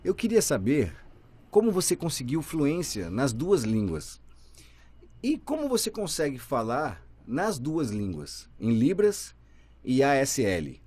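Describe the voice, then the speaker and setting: A man's voice: medium pitch, wildly swooping pitch, takes it slowly, darkish tone, slightly rough. A man voicing an interview host's signed questions in a conversational, inquisitive way. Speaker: voice interpreter for the host.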